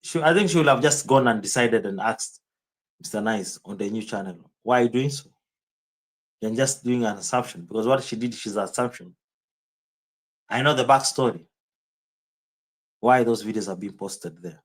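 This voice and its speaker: A man's voice: medium pitch, normal speed, long pauses, low-quality recording. A man with a Kenyan accent streaming live, rambling in casual commentary and answering viewers' chat comments by name.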